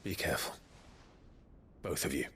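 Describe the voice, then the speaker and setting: An actor playing a grave young warrior leader in a video game, speaking in a measured, terse, resolute way. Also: male voice, a bit bright, a little hiss or hum, lightly acted. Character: grave young male warrior leader, commanding, protective brother. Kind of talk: video game drama acting.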